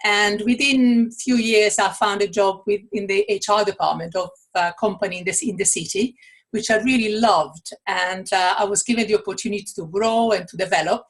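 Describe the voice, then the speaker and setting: A woman's voice: medium pitch, normal speed. A middle-aged woman from Italy with an Italian accent, answering interview questions as an author and coach, thoughtful, with many fillers and restarts.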